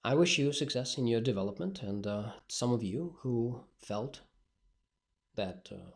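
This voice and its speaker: A man's voice: medium pitch, lively intonation, a little slow. A male speaking coach, not a native English speaker, talking bluntly and directly in a solo podcast monologue.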